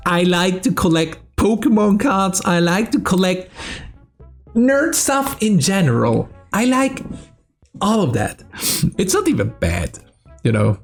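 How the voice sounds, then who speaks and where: A man's voice: high-pitched, very animated, a little slow, creaky, soft background music. A young man from South Tyrol with a German accent, a streamer casually answering fan questions, swearing and laughing between answers. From South Tyrol, Italy. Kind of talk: casual Q&A vlog.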